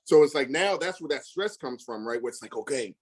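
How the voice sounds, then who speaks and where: A man's voice: medium pitch, animated, brisk, slightly rough. A man talking casually and bluntly, full of slang and emphasis, acting out past conversations in quotes as he tells stories. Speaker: real estate investor.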